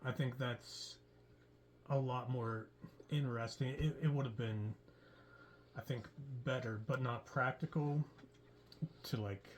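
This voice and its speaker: Halting man hosting a cast chat. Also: mid-range voice, steady tone, a little hiss or hum. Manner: halting, many fillers and restarts. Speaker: creator and producer of an audio drama. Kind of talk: casual group interview.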